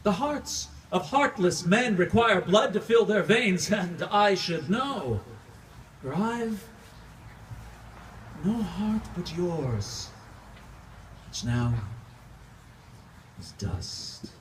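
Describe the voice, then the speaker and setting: A man's voice: high-pitched, very animated, very slow, pauses often, full character voice. A man with a Californian accent performing a dramatic monologue as a grieving, embittered centuries-old vampire count, theatrical and declamatory in archaic poetic phrasing. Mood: mournful, weary. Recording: distant live room recording, faint noise.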